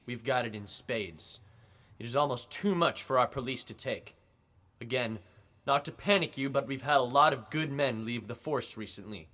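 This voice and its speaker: A man as a blustering, forceful radio-drama district attorney. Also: medium pitch, moderate pace. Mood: declamatory. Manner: declamatory, pompous, testy. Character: blustering, evasive male district attorney at a press conference. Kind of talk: old-time radio drama acting.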